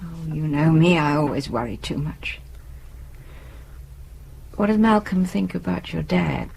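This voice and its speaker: A woman's voice: low voice, a little slow, gravelly, a little mellow, slight background noise. An actress playing a resigned, anxious middle-aged British wife and mother in a naturalistic radio drama, hesitant and wistful.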